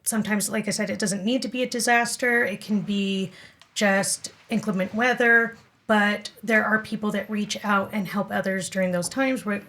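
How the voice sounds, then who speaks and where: A woman's voice: mid-range voice, fairly bright tone, a little hiss or hum. A woman speaking as an interview guest, explaining things conversationally with everyday examples and checking that listeners follow. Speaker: podcast guest, amateur radio club event director.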